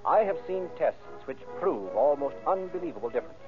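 A man's voice: on the high side, very animated, fairly quick, over music. A man announcing and narrating in formal, theatrical, emphatic phrasing, in 1930s radio style. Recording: tinny old radio recording.

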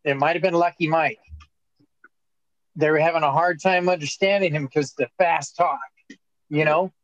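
A man with a fairly high voice, recorded dry and close, chatting casually on a podcast. He jokes and wanders through his stories. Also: steady tone, normal speed, dry recording, muffled recording. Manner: joking, rambling storyteller. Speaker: podcast co-host. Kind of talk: casual chat.

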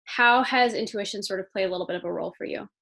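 A woman's voice: mid-range voice, fast, creaky, fairly bright tone. A woman hosting a podcast, reading a scripted intro and then chatting with a guest in an upbeat, enthusiastic way. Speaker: podcast host.

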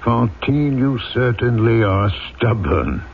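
A man's voice: mid-range voice, lively intonation, slow, slight background noise. A man playing a noble, resolute former convict turned mayor in an old-time radio drama, solemn and earnest as he tells and acts out his story. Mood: gentle, reassuring. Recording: tinny, narrow-band old radio recording with music.

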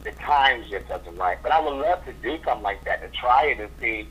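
A man's voice: lowish voice, expressive, fairly quick, fairly bright tone, slightly rough. A man, a drag performer, talking as a guest in a casual interview, sassy and blunt in his opinions. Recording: dull lo-fi recording.

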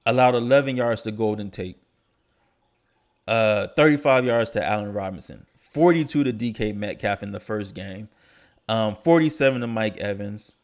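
A young man hosting a casual sports podcast, stuffy from allergies, talking in a laid-back, slangy way. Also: medium pitch. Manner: laid-back, slangy, enthusiastic about stats. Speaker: podcast host.

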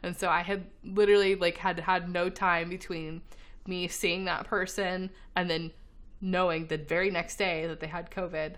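A woman's voice: lowish voice, flat delivery, normal speed, fairly bright tone. Chatty woman, laughing often. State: slightly congested, recovering from illness. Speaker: podcast co-host. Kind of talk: casual chat.

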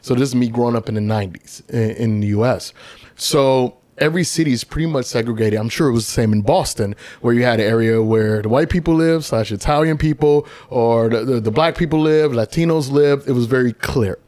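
A man's voice: mid-range voice, a little fast, darkish tone, no echo. Earnest male interview guest. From Cleveland, Ohio, USA. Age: late 30s. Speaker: guest, travel blogger. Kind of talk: casual interview chat.

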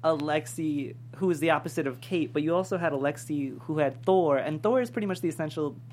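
A woman's voice: sits low, fairly quick, a little mellow. A woman from New York interviewing guests in a casual, chatty style. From New York, United States. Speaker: interviewer on a table-read show. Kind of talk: casual chat. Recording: audible background hum and noise.

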